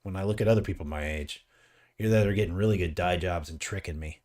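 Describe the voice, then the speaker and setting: Easygoing forty-something man, low voice. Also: fast talker, darkish tone. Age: 40s. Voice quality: graying beard mentioned, easygoing. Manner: rambling, joking, reads chat aloud. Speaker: co-host of a live miniature painting stream. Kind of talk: casual chat, reading out viewer comments.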